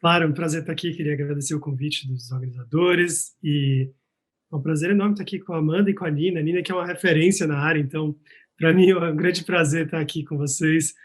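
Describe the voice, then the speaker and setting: A man's voice: on the high side, steady tone, fast. A man with a Bahian Brazilian accent speaking earnestly on an online panel, hesitating with fillers, over a video call. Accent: Bahian Brazilian Portuguese. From Salvador, Bahia, Brazil. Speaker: researcher, panelist. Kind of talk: casual online panel talk.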